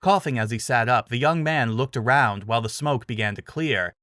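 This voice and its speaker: Mid-pitched man narrating, dry sound. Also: fairly quick, fairly bright tone, dry recording. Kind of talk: scripted dramatic story narration.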